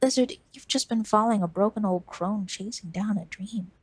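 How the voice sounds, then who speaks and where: A woman's voice: medium pitch, moderate pace, darkish tone, slightly rough, dry recording, lightly acted. A woman voicing a dying old woman wanderer who wheezes and coughs, speaking weakly and full of regret. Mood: regretful.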